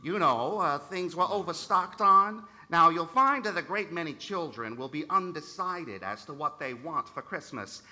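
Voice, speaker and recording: An actor playing a fussy, enthusiastic department store manager in a radio play, brisk and officious. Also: male voice, on the high side, animated, pushed and bright.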